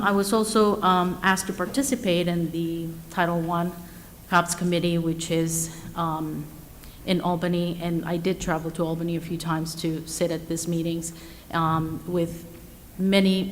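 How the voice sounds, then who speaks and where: A woman with a lowish voice speaks haltingly, filling her pauses with ums. Her voice carries a long hall reverb, with faint room noise behind it in a large cafeteria. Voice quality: hesitant, many ums. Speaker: school board candidate. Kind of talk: candidate speech. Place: school cafeteria.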